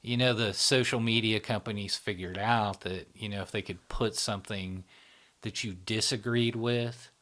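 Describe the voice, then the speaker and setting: A middle-aged man explaining psychology in a relaxed interview, often checking in with "right?". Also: on the low side, normal speed. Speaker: psychology channel founder, explaining. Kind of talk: explanatory conversation.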